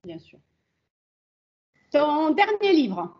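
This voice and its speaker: A woman's voice: sits high, big pitch swings, drawn-out words. A woman hosting an interview with short prompts and questions, on dull webinar audio. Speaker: host, interviewer. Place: online video call.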